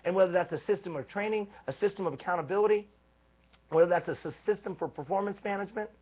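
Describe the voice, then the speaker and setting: A man giving a live leadership coaching talk, energetic and emphatic, stacking repeated phrases. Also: high voice, quick pace, breathy edge. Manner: energetic, emphatic, repetitive build-ups. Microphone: live stream microphone. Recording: tinny phone-like live stream.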